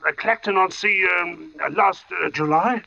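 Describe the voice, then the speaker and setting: An actor playing an amiable, flustered tombstone carver with a halting, bewildered manner in 1940s radio suspense style. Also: male voice, high voice, gravelly, sharp tone, exaggerated voice. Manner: slow, halting, bewildered mumbling. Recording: dull vintage radio recording with music.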